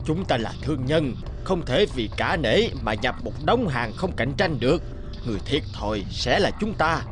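A man's voice: mid-range voice, very animated, moderate pace, over music, lightly acted. A man as a stern old merchant father. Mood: displeased, lecturing. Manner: scolding, authoritative. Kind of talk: cartoon fairy-tale voice acting.

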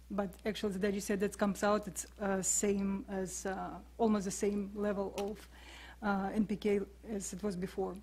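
A woman's voice: mid-range voice, darkish tone. A woman, a panelist answering questions in a briefing room, with frequent fillers. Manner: halting, many fillers.

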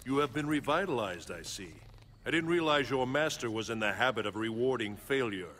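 An actor playing a solemn guardian god in a video game, stern, measured and formal. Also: male voice, medium pitch, lively intonation, a bit bright, natural voice. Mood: wary disdain. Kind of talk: video game cutscene acting.

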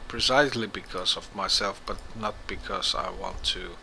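A young man from Finland with a Finnish accent, speaking English as a second language, gives halting let's play commentary, searching for words. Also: deep voice, gravelly, sharp tone. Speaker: gamer. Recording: home mic with game noise behind.

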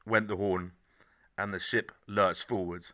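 A man in his thirties narrating a children's story expressively, with measured, gently dramatic phrasing. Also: sits low, fairly even intonation, dull audio. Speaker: storybook reader.

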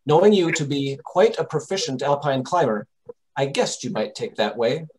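A man playing a shrewd, self-assured detective in old-time radio drama, speaking formally, deliberately and precisely. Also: medium pitch, lively intonation, darkish tone, lightly acted, poor audio. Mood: coolly confident. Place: home room, over video call.

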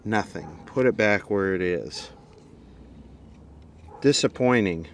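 A man's voice: lowish voice, slow pace, gravelly, a little hiss or hum. A man giving a casual, unscripted hands-on demonstration as a video host, dry and wry in manner. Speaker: video host and radio repair hobbyist.

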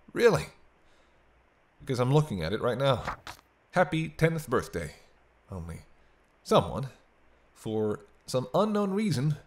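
A man playing a teasing, century-old vampire in an intimate roleplay audio drama, bantering wryly with hesitant asides. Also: medium pitch. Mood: wry, probing. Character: teasing century-old male vampire, sardonic but soft-hearted.

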